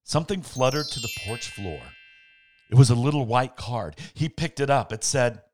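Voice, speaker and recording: A man reading a bedtime story aloud as a podcast host, with playful dramatic pauses and casual asides. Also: medium pitch, lively intonation, darkish tone, faint music behind.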